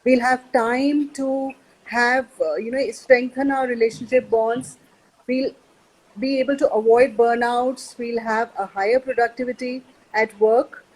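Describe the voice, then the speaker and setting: A woman with a husky voice speaking as a panel guest, earnestly listing her points one by one. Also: a little high, steady tone, measured pace, a little mellow, poor audio. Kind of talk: panel talk.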